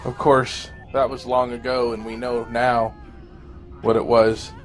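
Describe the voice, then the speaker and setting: A man reading a scripted first-person horror story as an audio drama narrator, grave and dramatic with deliberate phrasing. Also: medium pitch, little pitch movement. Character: male survivor recounting a zombie fungus apocalypse. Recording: amateur narration over music. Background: music.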